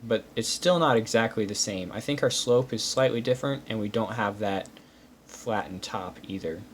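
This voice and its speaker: Male hobby-review host, lowish pitch, methodical and casual, explaining hands-on, some background noise. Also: normal speed. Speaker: host reviewing building-brick pieces. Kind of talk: casual hands-on product walkthrough. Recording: clear steady background noise.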